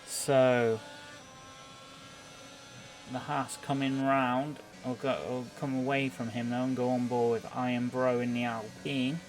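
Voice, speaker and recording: Even-toned man commentating on sim racing. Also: mid-range voice, unhurried, a little hiss or hum. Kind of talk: live play-by-play commentary of a racing game.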